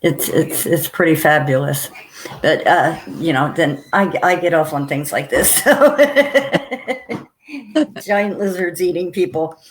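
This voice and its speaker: Excitable woman host with a cold. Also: low voice, takes it slowly, slight background noise, low-quality recording. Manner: gushing and excitable, laughs often. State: deeper, rougher voice from a cold. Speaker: show host. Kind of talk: casual interview chat.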